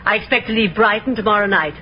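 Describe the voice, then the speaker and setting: An actress playing an imperious middle-aged actress-manager in a 1950s radio drama, with grand, commanding, crisply formal delivery. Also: female voice, medium pitch, fast talker, close to an everyday voice. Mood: haughty, formal. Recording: dull, hissy old 1950s radio recording.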